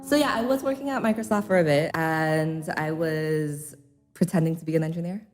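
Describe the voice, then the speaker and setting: Young woman w/ american accent, low voice, chatty, lots of ums. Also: moderate pace, a little mellow, soft background music. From Hong Kong. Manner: chatty, many fillers, laughs easily. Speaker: interview guest, pole dance teacher. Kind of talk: casual interview.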